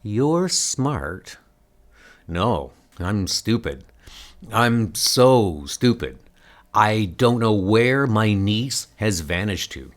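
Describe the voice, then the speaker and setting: Man playing a determined, slow-talking male superhero. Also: on the low side, lively intonation. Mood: anguished. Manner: earnest, insistent. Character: determined, anguished male superhero searching for his niece. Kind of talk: comic book dub acting.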